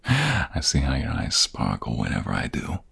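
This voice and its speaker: Protective ex-mobster lover for ASMR drama, male actor: very low, gravelly, slow and teasing. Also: wildly swooping pitch, no echo, exaggerated voice. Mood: tender, teasing. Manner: soft and intimate, breathy, often dropping to a whisper. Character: protective, teasing young ex-mobster doting on his love. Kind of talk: ASMR romantic roleplay acting.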